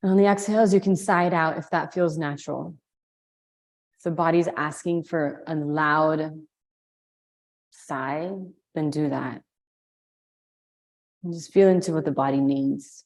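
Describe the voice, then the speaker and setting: A woman leading a guided meditation, giving slow, soothing instructions with long pauses. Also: deep voice, fairly even intonation, darkish tone. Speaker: meditation and yoga teacher.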